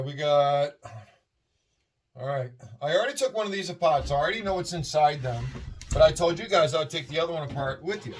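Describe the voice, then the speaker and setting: A middle-aged man with a Massachusetts accent, chatting in a rambling, upbeat way while taking apart scrap in a shed at night. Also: a little high. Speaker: scrapping video host. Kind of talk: casual hands-on narration. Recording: distant mic, faint noise.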